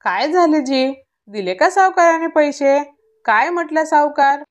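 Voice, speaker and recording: A woman playing a gentle village mother and wife in a cartoon story, supportive and encouraging. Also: high voice, measured pace. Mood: concerned. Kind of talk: scripted cartoon story dialogue.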